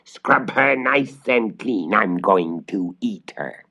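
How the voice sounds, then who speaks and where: A man voicing a horrid, sly old witch in a storybook tale, with a grating, slow, drawn-out voice. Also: lowish voice, expressive, bright, forceful tone, heavily acted. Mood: menacing. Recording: dull vintage vinyl record transfer.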